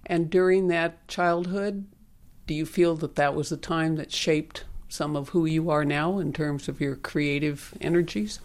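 A woman hosting a public radio arts program from the studio, speaking in a measured, articulate way as she introduces and interviews a guest. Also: low voice, fairly even intonation, darkish tone, dry recording. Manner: measured, articulate, spells things out. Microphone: studio microphone.

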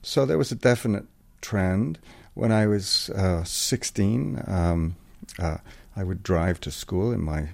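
A man's voice: low voice, creaky, darkish tone. A middle-aged man from England answering a radio interview as a guest metalsmith, thoughtful and hesitant, with many ums and uhs.

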